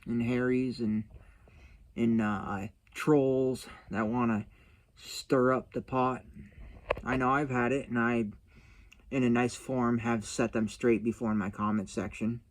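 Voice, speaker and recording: A man's voice: mid-range voice, level tone, measured pace. Man, mid-range voice, casual vlog host, folksy, rambling, self-deprecating. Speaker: YouTube channel host. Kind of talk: casual vlog chat to viewers.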